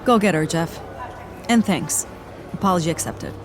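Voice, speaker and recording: A brisk team leader. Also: female voice, fairly low pitch, animated, over music.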